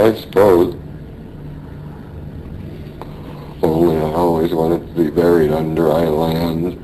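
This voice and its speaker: An actor playing a dying American sailor in a radio drama, weak and pained, speaking haltingly and wistfully. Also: male voice, deep voice, dark tone, poor audio. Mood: wistful, bitter. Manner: halting, labored, brooding delivery. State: weak, in pain. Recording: faint noise behind a radio play.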